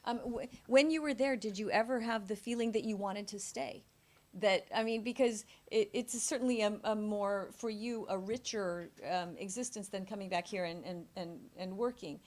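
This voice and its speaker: A woman moderating a book launch, asking probing questions in a soft-spoken, conversational way. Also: medium pitch, moderate pace, hardly any pauses. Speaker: interviewer moderator. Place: press club event room.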